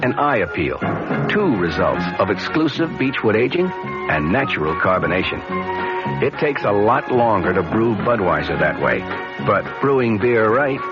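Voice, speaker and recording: Animated low-voiced male radio announcer. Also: moderate pace. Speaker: commercial announcer. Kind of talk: scripted radio advertisement. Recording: lo-fi radio ad over loud music.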